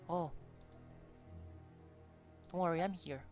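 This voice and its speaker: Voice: medium pitch, slow, darkish tone. Casual game streamer with music behind. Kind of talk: casual live playthrough commentary.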